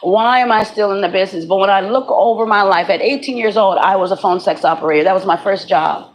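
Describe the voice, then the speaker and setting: A woman in her forties, slightly raspy today, giving a candid, chatty motivational talk to her viewers and often repeating herself. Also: medium pitch, fast, slight background noise, poor audio. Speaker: webcam model vlogger. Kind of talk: casual motivational monologue.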